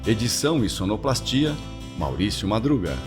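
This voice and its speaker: A man's voice: lowish voice, very animated, moderate pace. A man working as an announcer, reading scripted closing credits for a radio drama. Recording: roomy echo under clear guitar music.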